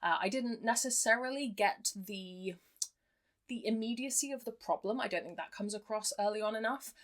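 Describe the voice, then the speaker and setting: A woman's voice: mid-range voice, moderate pace. A woman giving a casual, chatty review with wry understatement, speaking as a reviewer to her audience. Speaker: book reviewer. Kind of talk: casual scripted-free book review to camera.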